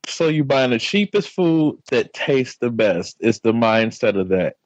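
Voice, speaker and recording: A man talking casually as a podcast guest, playful and relaxed. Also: measured pace, dry recording, dull audio. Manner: playful, jumps into a high hype voice at times. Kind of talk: casual conversation.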